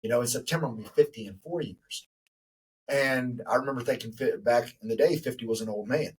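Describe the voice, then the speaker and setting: A man's voice: mid-range voice, quick pace, breathy edge, recorded from a distance, low-quality recording. A man in his forties hosting a podcast interview, talking in a reflective, rambling way with many fillers. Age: 40s. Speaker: podcast host. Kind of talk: casual interview conversation.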